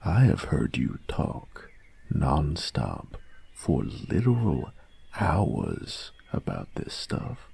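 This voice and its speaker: A man playing a cocky young bully who is secretly soft on his boyfriend in an intimate audio roleplay, gravelly and husky, grudging and teasing in a cool-guy way. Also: extremely low pitch, full character voice. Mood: mocking, dismissive.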